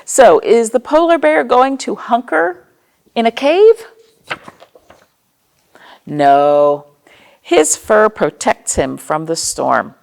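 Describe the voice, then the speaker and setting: A woman leads a storytime for little children. Her pitch swings widely and expressively, with a creaky edge to the voice. She talks directly to her young listeners and draws them in with questions. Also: very animated, slow pace, pauses often, darkish tone. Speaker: children's librarian and storytime host.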